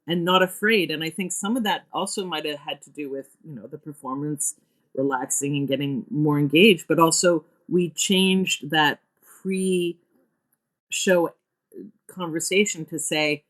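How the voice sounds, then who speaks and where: A woman's voice: low voice, a little slow, fairly bright tone, low-quality recording. A woman speaking as an interview guest about her theatre work, earnest and emphatic as she thinks aloud. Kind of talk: reflective interview talk.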